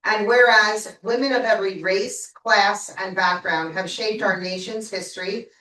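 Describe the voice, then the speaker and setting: A woman's voice: medium pitch, steady tone, a little slow, sharp tone, a little hiss or hum. A woman reads a formal proclamation aloud in a council chamber, now and then tripping over a word. She is heard from a distance in a roomy, echoing hall, her mic switched off. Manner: stumbles and apologizes mid-reading. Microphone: mic not switched on, picked up from a distance. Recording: echoey room from far off.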